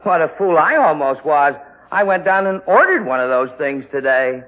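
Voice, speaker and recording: Actor as uneasy, earnest man, higher-pitched. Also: lively intonation, moderate pace, close to an everyday voice. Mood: chastened. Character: worried, cautious male friend, easily startled. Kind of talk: classic radio drama acting. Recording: tinny old radio recording.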